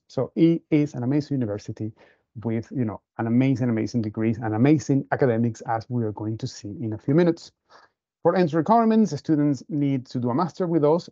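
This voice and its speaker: A man's voice: medium pitch, warm tone, no room sound, muffled recording. A man from Venezuela with a Venezuelan Spanish accent, presenting his university over a video call with eager enthusiasm and frequent restarts. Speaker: university international officer.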